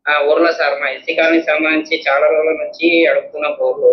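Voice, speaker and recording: A man's voice: on the high side, flat delivery, quick pace. A man with a Telangana Telugu accent phoning in a local complaint, deferential and often saying sir. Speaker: phone-in caller with a local grievance. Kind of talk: deferential petitioning by phone. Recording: dull phone call, echo, noise.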